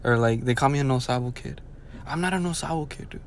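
Young male guest, native Spanish speaker, relaxed slangy chat, light background hiss. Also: medium pitch, fast talker. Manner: laid-back, blunt slangy talk. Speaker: content creator guest. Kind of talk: casual podcast chat.

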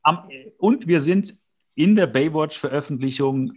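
A man co-hosting a podcast in casual, humorous banter, often mock-serious and halting as he corrects himself. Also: a little high, husky, lo-fi sound. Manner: mock-serious, tongue-in-cheek. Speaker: podcast co-host.

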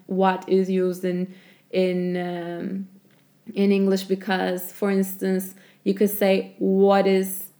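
A woman giving a relaxed, chatty language lesson, with many fillers, who repeats example phrases slowly. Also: mid-range voice. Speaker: language teacher. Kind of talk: casual spoken lesson.

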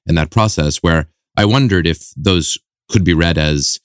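A man's voice: low-pitched, a little slow, clear, resonant tone, no room sound, clean studio sound. A man interviewing a novelist, asking long, thoughtful questions with restarts.

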